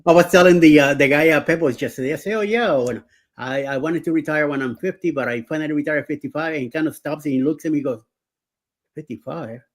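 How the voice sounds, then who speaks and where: A man in his sixties hosting a relaxed live-stream chat. He wanders through his stories with frequent fillers and self-corrections, laughing easily as he goes. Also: fairly high pitch, fast. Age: 60s. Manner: rambling, many fillers and restarts, laughs often. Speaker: show host.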